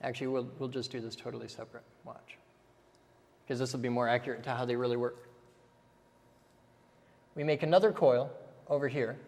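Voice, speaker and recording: A man's voice: medium pitch, fairly even intonation, fairly quick, gravelly, pauses often, a little mellow, in a large hall. A man teaching step by step in a casual way, often checking in with "okay?" as he goes. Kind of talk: casual technical explanation while drawing.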